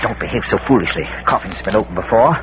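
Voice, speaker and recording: Actor as a scholarly gentleman sleuth, muffled vintage radio. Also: fairly low pitch, lively intonation, fast, natural voice. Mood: brisk, reasoning. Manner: precise, hesitant pedantic asides. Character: brisk, scholarly amateur detective, a well-bred older gentleman. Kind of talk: old-time radio mystery acting. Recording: dull, noisy vintage radio recording.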